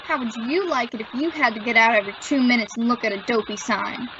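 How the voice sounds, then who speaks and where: A woman's voice: on the high side, fast talker, highs cut off, background noise, natural voice. A woman playing a whiny, cheeky child in an old-time radio family comedy. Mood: grumpy. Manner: whining, complaining.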